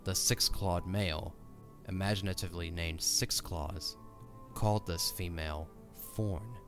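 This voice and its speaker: A man's voice: low voice, fairly even intonation, measured pace, fairly bright tone. A man narrating a scripted story for an audio drama. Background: music.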